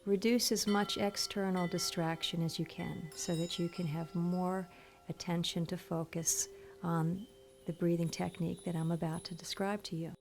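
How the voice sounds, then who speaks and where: A woman leading a guided meditation in a soothing, gentle, instructional manner. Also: lowish voice, level tone, moderate pace. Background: soft meditation music with chimes.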